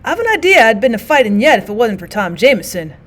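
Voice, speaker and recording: Lady putting on a wounded old-timey soldier, rambling folksy talk, words slurring, a bit higher and louder than her usual read. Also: big pitch swings, quick pace.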